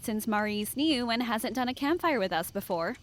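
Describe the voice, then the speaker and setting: Woman playing prim young counselor, lively high voice. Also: normal speed, no room sound, close to an everyday voice. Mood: earnest. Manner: prim, cautioning, earnest. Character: earnest, responsible, overachieving 22-year-old female camp counselor. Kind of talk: audio drama acting.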